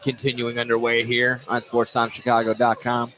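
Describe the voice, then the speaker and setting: A man's voice: medium pitch, monotone, moderate pace, a bit bright. A man doing informal live play-by-play basketball commentary, sometimes stumbling and correcting himself. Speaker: play-by-play sports announcer. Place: indoor basketball gym. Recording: noisy live gym broadcast.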